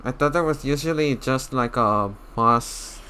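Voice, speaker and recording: A man giving casual gameplay commentary in a halting, low-key way, often trailing off. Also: bright, forceful tone, a little hiss or hum. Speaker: gamer. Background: game music.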